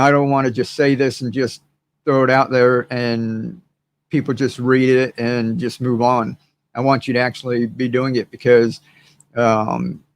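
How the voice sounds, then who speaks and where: A hoarse man hosting a gardening channel, chatting casually and rambling to his viewers with many fillers and repeated words. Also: medium pitch. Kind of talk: casual livestream chat to viewers.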